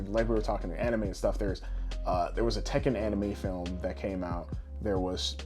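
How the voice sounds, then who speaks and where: A man's voice: medium pitch, a little mellow. A man talking casually as a podcast host, opinionated and swearing freely. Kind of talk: casual pop-culture chat. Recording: background music under voice.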